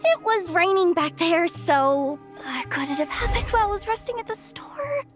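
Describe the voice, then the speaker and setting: A hardworking young courier girl in a video game, eager to please. Her voice is very high and swoops freely, at an unhurried pace, over faint music. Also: big pitch swings. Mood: flustered. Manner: hesitant stammering, eager to please.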